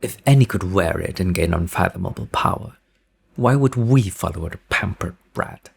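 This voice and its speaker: A man's voice: deep voice, animated, normal speed, creaky, husky, heavily acted. A man acting a haughty, protective young nobleman in an audio drama, making imperious, impatient demands. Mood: stern.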